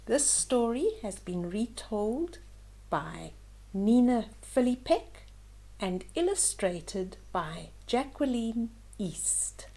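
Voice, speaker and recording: Woman reading a fairytale to little kids, really slow mellow voice, expressive, goes hushed a lot. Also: a little high, low-quality recording. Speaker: children's storyteller. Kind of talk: storybook read-aloud with asides to young listeners.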